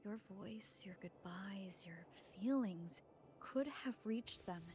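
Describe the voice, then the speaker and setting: A woman playing a calm, kind young adventurer in a video game, speaking softly and sincerely in measured phrases. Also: mid-range voice, a little hiss or hum, natural voice. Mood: reassuring, sincere. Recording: background music under dialogue.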